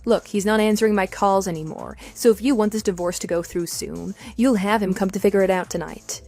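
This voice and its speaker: A woman's voice: medium pitch, brisk, a little mellow, faint music behind, close to an everyday voice. A woman playing a coolly sarcastic wronged wife in a radio drama, speaking in a dry, firm, matter-of-fact way. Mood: cool, insistent. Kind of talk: scripted radio drama dialogue.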